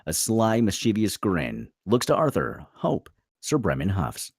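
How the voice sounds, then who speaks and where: A man's voice: fairly low pitch, huge pitch swings, measured pace, gravelly, breathy edge, no echo, studio quality. A man narrating screenplay stage directions dramatically at a table read.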